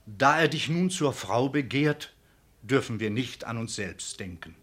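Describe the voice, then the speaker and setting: Slow, husky, solemn old provost. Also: male voice, mid-range voice, animated, a bit bright. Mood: solemn, urging. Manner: ceremonious, halting, sentimental speechmaking. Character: kindly, solemn old provost and foster uncle. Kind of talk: radio drama acting.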